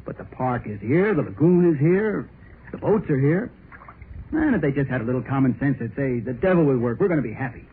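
An actor playing an insistent young working husband, emphatic and repetitive, in 1930s radio drama style. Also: male voice, medium pitch, very animated, a little fast, close to an everyday voice. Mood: carefree, earnest. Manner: emphatic, repeats himself. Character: young working husband, insistent, carefree yet money-worried. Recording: noisy, narrow-band 1930s radio.

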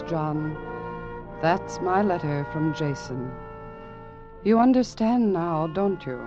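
An actress playing an earnest, emotional wife in a 1940s radio drama. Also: female voice, low voice. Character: earnest, emotional wife calling off her divorce. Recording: muffled vintage radio recording. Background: loud orchestral music.

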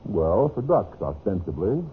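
Low-voiced, sly, scheming American husband. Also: male voice, very animated, measured pace, phone-quality sound. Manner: smooth-talking, sardonic, insinuating. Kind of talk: 1950s radio drama acting. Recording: noisy, band-limited old radio recording.

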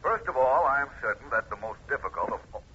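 Sharp-toned, formal speechmaking detective. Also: male voice, medium pitch, lively intonation, breathy edge. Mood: formal, measured. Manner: measured, formal oratory. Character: formal private investigator giving a speech. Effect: played-back recording filter. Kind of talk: 1950s radio drama acting. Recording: tinny, hissy old radio recording.

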